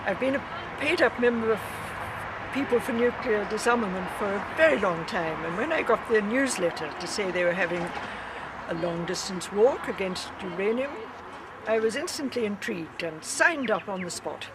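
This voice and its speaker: A woman from Perth who is on a long protest walk talks with gentle enthusiasm. The outdoor interview carries clear background noise. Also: mid-range voice, lively intonation, normal speed. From Perth, Australia. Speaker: walker on a protest march.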